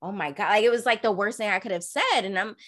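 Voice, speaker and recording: Blunt, expressive young woman chatting. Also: quick pace. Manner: blunt, expressive, acts out quotes in a higher voice. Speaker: podcast host. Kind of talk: casual chat.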